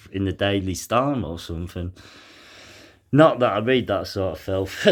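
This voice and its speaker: A young man commenting on a news article as he reads it aloud, halting often with fillers and sighs. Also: lowish voice. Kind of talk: casual commentary mixed with reading aloud.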